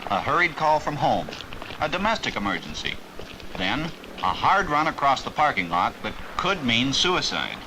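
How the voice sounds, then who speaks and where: A man giving scripted, measured narration for an instructional training film from the 1950s, stressing key words as he explains. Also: mid-range voice, very animated, background noise.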